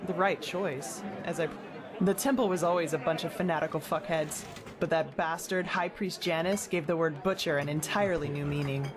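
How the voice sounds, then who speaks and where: A woman's voice: deep voice, fairly even intonation, normal speed, crowd noise, close to an everyday voice. A woman playing a frank, worldly adventurer in a fantasy game, talking in a casual, wry and blunt way. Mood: candid, animated. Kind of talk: scripted fantasy video game dialogue.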